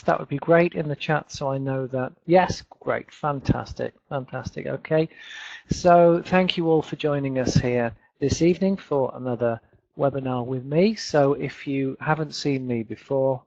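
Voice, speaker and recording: Leeds guy teaching english online, slow and a bit gravelly, lots of ums, dull lo-fi webinar sound. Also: medium pitch, darkish tone, no room sound. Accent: Northern English, Leeds. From England. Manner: chatty, repeats words, many fillers.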